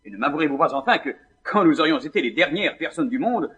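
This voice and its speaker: An actor playing a vain, scornful young gentleman in a classical French stage comedy, declaiming with indignation. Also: fairly high pitch, animated, fast, dull audio. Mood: indignant.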